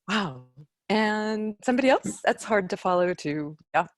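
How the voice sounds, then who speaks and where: Slow-spoken woman leading a workshop. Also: mid-range voice, expressive. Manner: encouraging, unhurried, trailing off. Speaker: improv workshop leader. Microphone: video call.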